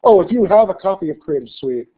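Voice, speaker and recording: A man's voice: high-pitched, lively intonation. Guy teaching a class, kinda stuffy w a cold, lots of uh and restarts, sounds like a phone recording. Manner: halting, many fillers and restarts. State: slight cold, losing voice. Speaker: college instructor. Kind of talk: classroom lecture. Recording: tinny phone-like lecture recording.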